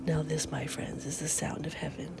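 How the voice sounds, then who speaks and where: A woman's voice: low voice, little pitch movement, moderate pace, vocal fry, heavy background noise. A middle-aged woman hosting a podcast in a casual, upbeat morning chat, often half-whispering, with a stuffy nose from a head cold. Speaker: podcast host.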